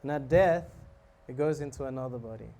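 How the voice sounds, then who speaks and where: A man giving a relaxed, joking talk on scripture to a live audience, often asking them questions. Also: medium pitch, a little fast, slight background noise. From South Africa. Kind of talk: casual sermon to a live audience.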